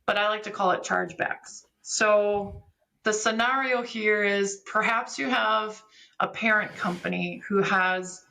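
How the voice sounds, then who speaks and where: Woman explaining in a creaky voice. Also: mid-range voice, a little slow, sharp tone, rough recording. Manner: didactic, step-by-step explaining with examples. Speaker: podcast guest, business software expert. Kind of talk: conversational technical explanation.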